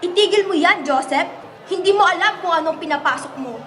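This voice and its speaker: Lady acting an indignant objector in a radio drama, super high voice, noisy crowd around. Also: brisk, fairly bright tone. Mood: alarmed.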